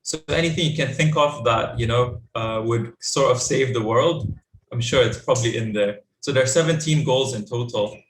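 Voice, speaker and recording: A young man moderating a youth panel over a video call, halting with many fillers. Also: mid-range voice, a little hiss or hum. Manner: halting, full of ums and you-knows. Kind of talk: hesitant casual moderating. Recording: echoey room.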